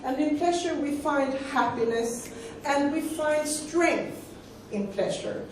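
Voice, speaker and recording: A woman's voice: high-pitched, slow pace, background noise. Swedish-accented woman lecturing in an echoey hall. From Sweden. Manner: conversational, repeats words while searching for them. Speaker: sex educator. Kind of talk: live lecture to an audience. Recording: echoey hall recording from far off.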